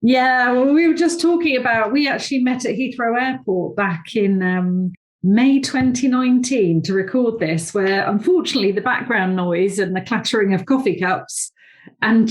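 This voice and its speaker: A woman's voice: on the high side, moderate pace. A woman hosting a podcast interview over a video call, talking in a chatty, rambling way with many fillers. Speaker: podcast host, awards founder. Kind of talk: conversational interview.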